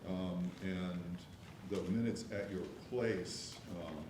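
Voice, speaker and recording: A man chairing a board meeting, measured and hesitant, with many fillers, recorded from afar in an echoey room with noise. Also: sits low. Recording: echoey room, mic from far off, background noise.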